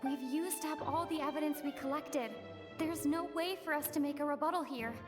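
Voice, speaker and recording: A woman's voice: sits high, brisk, music in the background, close to an everyday voice. A woman voicing a discouraged young female defender in a video game. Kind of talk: dramatic video game voice acting.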